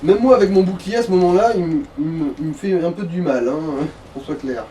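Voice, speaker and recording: A man's voice: sits high, fairly quick, warm tone, far from the mic, slight background noise. A man giving casual, explanatory advice on a video game. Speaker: co-commentator advising the player. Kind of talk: casual gaming commentary.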